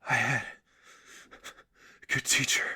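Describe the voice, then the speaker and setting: A man's voice: takes it slowly, sharp tone. Man as a terse, resolute young heroine. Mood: weak. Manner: clipped, sparse phrases, restrained. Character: calm, terse young woman vigilante, exhausted but resolute.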